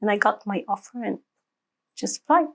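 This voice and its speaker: A woman's voice: mid-range voice, lively intonation, moderate pace, creaky. A woman in her thirties from Tunisia, speaking English with an Arabic accent as an interview guest, hesitant and full of fillers, laughing often. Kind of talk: conversational interview.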